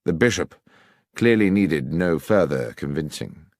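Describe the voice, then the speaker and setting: A man narrating a scripted first-person story as an audiobook, with measured, literary storytelling. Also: extremely low pitch, animated, no echo, clean studio sound.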